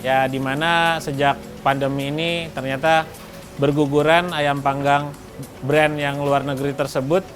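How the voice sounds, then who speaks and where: Higher-pitched man explaining his business. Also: flat delivery, a little slow, music in the background. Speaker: restaurant co-owner being interviewed. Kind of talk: interview talk, explaining a business.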